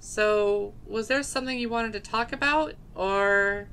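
A woman's voice: mid-range voice, a little slow, clear, resonant tone. A woman playing a chatty, blunt young woman scientist who is part dog, in casual fan dub drama dialogue. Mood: concerned, exasperated. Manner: casual, animated, exasperated at hesitation.

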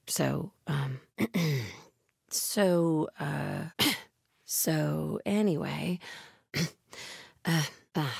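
A woman playing a fierce, devout cult warrior in a video game, speaking in terse combat and stealth barks with a husky voice. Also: low voice, darkish tone, no room sound, studio quality, full character voice. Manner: terse, clipped phrases.

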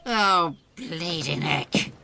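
A man's voice: on the high side, expressive, very slow, creaky, background noise, full character voice. A British man playing a cunning, pompous medieval lord in a comedy audio drama, sneering and exasperated. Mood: disgusted.

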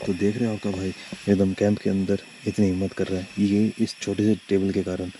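A man with a lowish voice narrates a camping trip, talking chattily to his viewers as brothers. He speaks from a campsite at night and later from inside a tent. Faint noise sits under the voice. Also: little pitch movement, fairly quick, warm tone. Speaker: travel vlogger. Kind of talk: casual vlog narration to viewers.

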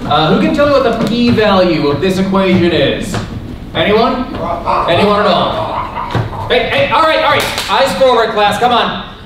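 An actor plays a man in authority addressing a group in a staged radio play. His voice is high and presentational. It comes from far off in a noisy, very echoey hall. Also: expressive, unhurried. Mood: instructing, exasperated. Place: stage in a small auditorium.

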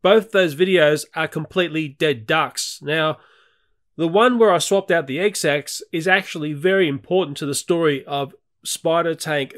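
A man's voice: a little high, fairly bright tone. Chatty Australian man narrating. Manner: chatty, candid. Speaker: nature video creator. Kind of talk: voice-over narration.

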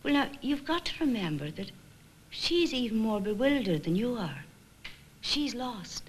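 Middle-aged nurse in charge, kind and wise, really varied pitch, old 50s film sound. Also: female voice, slight background noise. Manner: measured, gently questioning, instructive. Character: patient, kindly, wise middle-aged ward sister. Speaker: senior nurse leading a staff discussion. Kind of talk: 1950s instructional film acting.

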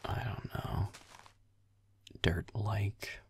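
A young man playing a grumpy, sardonic werewolf boyfriend in an intimate audio roleplay, muttering deadpan in a breathy, gravelly murmur as he games. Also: on the low side, drawn-out words, no room sound, in a whisper, full character voice.

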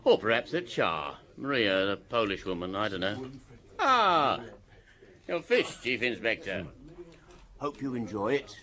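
An actor playing a sly, gossipy village local in a radio drama, wry and knowing. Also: male voice, lowish voice, big pitch swings, measured pace, pushed and bright, heavily acted.

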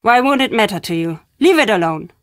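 Adventure game role: actress as cryptic female traveler who deals in fate and tragedy, stern, mid-pitched, dry recording. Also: animated, fairly bright tone, no room sound, natural voice. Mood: grave, insistent. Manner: cryptic, stern, deliberate phrasing. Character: enigmatic, foreboding woman, traveling storyteller of fate and tragedy. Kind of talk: adventure game character acting.

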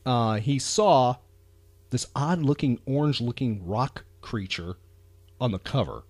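A man's voice: medium pitch, lively intonation, measured pace, darkish tone, slightly rough, no echo. A man hosting a chatty pop culture podcast, talking with enthusiasm and emphasis, often repeating phrases for effect. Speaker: podcast host.

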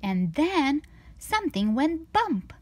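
A woman reading a children's storybook aloud with expressive, deliberate, sing-song rhyming delivery. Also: high voice, slight background noise. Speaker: storybook reader.

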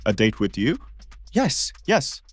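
A man's voice: mid-range voice, wildly swooping pitch, slow, no echo, heavily acted. A young man voicing a popular teenage boy who is nervous and stammers a lot, juggling two dates. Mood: flustered. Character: popular teen boy, flustered two-timer. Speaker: voice actor in a fan-made game drama.

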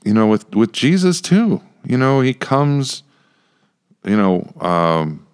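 A middle-aged American man co-hosting a casual podcast, chatty, halting and repeating words as he goes. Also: mid-range voice, sing-song, clean tone. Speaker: podcast co-host, church pastor. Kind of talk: casual Bible discussion podcast. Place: small indoor room with acoustic panels. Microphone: close podcast microphone with headphones.

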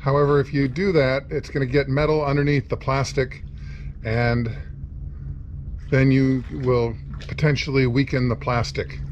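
A man's voice: medium pitch, flat delivery, moderate pace, muffled recording. Man in his sixties, noisy recording. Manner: plain-spoken, step-by-step explaining. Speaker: sailing vlogger and host. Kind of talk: informal narrated travel tour and how-to.

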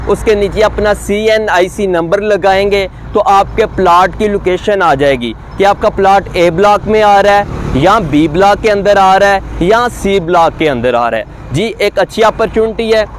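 पाकिस्तानी उर्दू लहजे वाला एक आदमी, बाहर किसी निर्माण साइट पर खड़ा होकर दर्शकों से सीधे बात करता है और जोश के साथ प्लॉट बेचता है।. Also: male voice, rough recording. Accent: Pakistani Urdu. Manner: upbeat sales pitch, speaks straight to viewers. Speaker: real estate agent. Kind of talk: on-site property promo vlog. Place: outdoors at a construction site by a busy road. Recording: faint outdoor traffic noise.

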